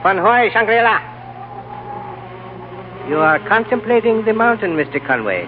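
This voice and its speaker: An actor playing a suave, formal male guide to a mountain lamasery, speaking in a courtly, measured way in a 1930s radio drama. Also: high voice, lively intonation, noisy background. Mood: calm courtesy. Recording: tinny old radio broadcast recording.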